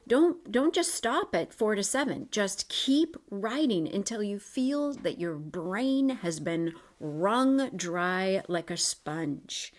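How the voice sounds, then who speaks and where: A woman coaching listeners step by step through an exercise, encouraging and reassuring, repeating key points for emphasis. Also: fairly low pitch. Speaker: coach guiding a self-reflection exercise. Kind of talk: instructional coaching talk, step by step.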